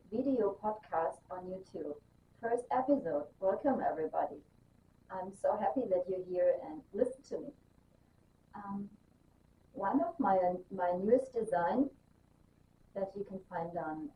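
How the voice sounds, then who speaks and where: A woman's voice: medium pitch, mellow. A woman from Germany, chatting casually and enthusiastically as host of her own knitting vlog, with frequent ums and restarts. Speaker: knitting designer and podcast host. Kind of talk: casual chat. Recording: roomy, distant, dull sound with faint hiss.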